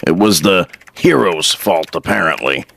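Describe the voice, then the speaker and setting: A man playing a no-nonsense newspaper editor in an audio drama, speaking with brisk authority. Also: low-pitched, expressive, gravelly, a bit bright, heavily acted. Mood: businesslike, curious. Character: commanding, no-nonsense newspaper editor, male boss.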